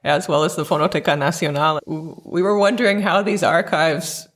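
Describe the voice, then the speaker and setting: High-pitched man asking interview questions. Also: steady tone, normal speed, a bit bright. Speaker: podcast interviewer.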